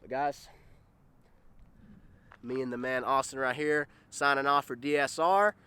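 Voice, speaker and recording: A young man hosting a casual car vlog. He sounds a little congested and talks in an excited, rambling way, first inside a car and then outdoors, into a handheld camera held at arm's length. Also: mid-range voice, measured pace, clean tone. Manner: excited, rambling, addresses viewers as guys. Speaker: car vlogger host.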